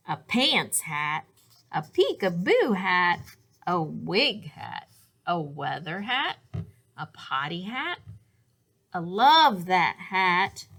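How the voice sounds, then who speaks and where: Slow-paced woman reading a children's storybook. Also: lowish voice, fairly bright tone. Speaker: storybook reader. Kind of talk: scripted children's storybook narration.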